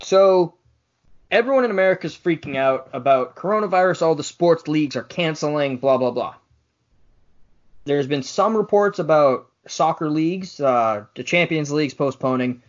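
A man's voice: fairly high pitch, lively intonation, normal speed, dull audio. A man co-hosting a casual sports podcast, chatty and wry as he relays news and teases his co-host.

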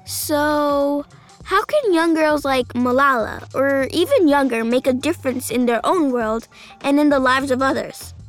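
A woman's voice: high-pitched, a little slow, faint music behind. A woman hosting a scripted interview show made for children. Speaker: podcast host.

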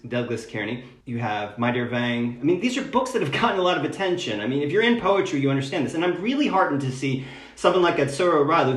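A man speaking as a guest poet in a conversational literary interview, emphatic and halting, often repeating phrases. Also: a little high, fairly bright tone. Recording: roomy, echoing sound.

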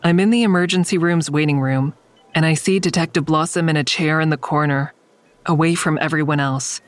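Female crisis counselor, played by an actress: lower-pitched, close dry sound, earnest and uneasy, introspective audio drama narration. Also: fairly even intonation, a little fast, no room sound, natural voice. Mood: uneasy, reflective. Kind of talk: first-person audio drama narration and dialogue.

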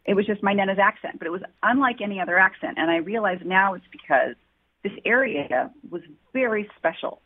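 A woman's voice: mid-range voice, lively intonation, brisk. A woman author answering interview questions, telling her story in an earnest, heartfelt way. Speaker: author guest. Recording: tinny phone-line audio.

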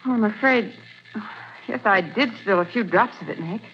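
A woman's voice: mid-range voice, normal speed, soft background music, close to an everyday voice. An actress playing a young, inquisitive female assistant to a detective in a 1940s radio drama. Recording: dull lo-fi 1940s radio recording with hiss.

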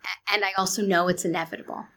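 Calm, earnest woman with a gravelly edge. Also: lowish voice, quick pace. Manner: earnest, searching, restarts and quotes books. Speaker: guest, therapist. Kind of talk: reflective interview talk.

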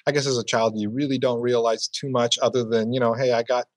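A man being interviewed about his business career, talking reflectively and filling pauses with "you know.". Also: mid-range voice, flat delivery, dry recording. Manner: reflective, repeats "you know" often, restarts phrases. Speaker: entrepreneur guest. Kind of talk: casual interview answers.

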